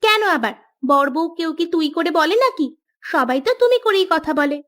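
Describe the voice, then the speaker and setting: A woman plays a sensible young wife from a village cartoon. Her voice sits high, and she talks in a bossy, sharp-tongued way, practical about household matters. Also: expressive, moderate pace. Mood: scolding. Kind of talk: cartoon dialogue.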